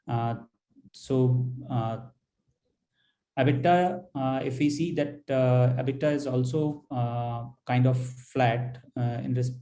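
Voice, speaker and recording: Halting Indian man, mellow voice. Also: mid-range voice, rough recording. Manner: halting, hesitant delivery with frequent pauses and repeated words. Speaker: finance executive presenting results. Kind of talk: earnings call presentation.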